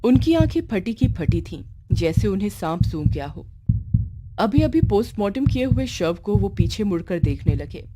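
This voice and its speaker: A woman's voice: mid-range voice, sing-song, moderate pace. A woman narrating a scripted horror story. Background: loud music.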